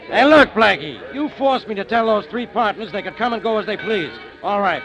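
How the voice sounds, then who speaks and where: Blustering slow-witted inspector, high and animated, old-time radio drama. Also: male voice, soft background music, rough recording. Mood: grumbling. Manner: gruff, irritable retorts. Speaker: police inspector. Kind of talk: 1940s radio acting.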